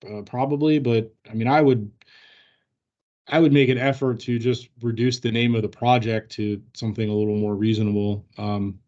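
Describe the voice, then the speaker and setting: A man working as a company trainer, answering staff questions in an informal, halting, hedging way. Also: lowish voice, fairly even intonation, mellow, dull audio. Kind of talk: informal work training discussion.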